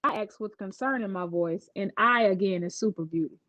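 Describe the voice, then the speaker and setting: A woman storyteller reading a children's picture book aloud in an expressive style. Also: medium pitch, a little fast, no echo, dull audio.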